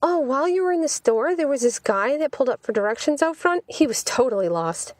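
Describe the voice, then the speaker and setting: A woman voicing a sweet, chatty young woman who is easily exasperated, in an animated audiobook reading. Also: high voice, fairly quick, no room sound, clean studio sound, pitched above the performer's own voice. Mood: chatty, delighted. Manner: animated, indignant when challenged.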